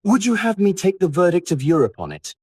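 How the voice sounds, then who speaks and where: A man's voice: sits high, very animated, fairly quick, a little mellow, husky, no room sound, clean studio sound, voice pushed up, heavily acted. A man voicing a witty, languid English aristocrat in an audiobook, teasing and aphoristic in a theatrical way. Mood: dry wit. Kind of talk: audiobook character dialogue.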